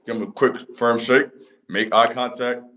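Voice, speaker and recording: A man's voice: flat delivery, normal speed, background noise. A man, giving a motivational talk to camera in an emphatic, repetitive cadence. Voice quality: deep voice. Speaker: channel host.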